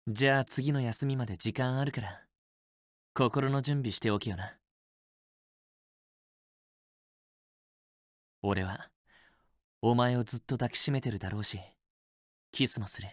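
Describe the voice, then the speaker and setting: A man's voice: mid-range voice, steady tone, moderate pace, pauses often, no echo, studio quality, lightly acted. A man playing a possessive, teasing young student boyfriend in a romance game, with an intimate, assertive, coaxing delivery. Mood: possessive, confident. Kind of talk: Japanese otome game voice acting, intimate romantic dialogue.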